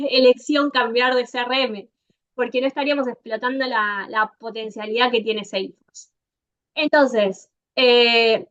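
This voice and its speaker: Woman, Argentine accent, bright forward tone, conversational presenter, frequent fillers, lo-fi muffled sound. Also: high voice. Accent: Argentine Spanish. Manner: conversational, many "eh" fillers, addresses the audience directly. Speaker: Salesforce consultant giving a conference talk. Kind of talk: online conference presentation.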